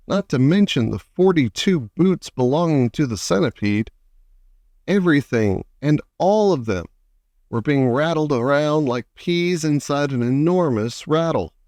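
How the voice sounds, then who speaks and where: A man reading a children's storybook aloud as an expressive narrator. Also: fairly high pitch, no room sound, clean studio sound. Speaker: audiobook reader.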